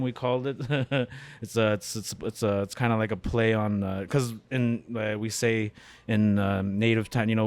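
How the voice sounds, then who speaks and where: Rambling middle-aged man, low voice. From Canada, born in Winnipeg. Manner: rambling, many fillers and restarts. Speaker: guest, comedian and beatmaker. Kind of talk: casual interview conversation. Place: cafe.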